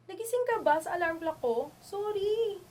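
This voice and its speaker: A woman's voice: extremely high pitch, lively intonation, moderate pace, background noise. A woman playing a friendly, frank young city dormmate in an amateur radio drama, chatty and candid.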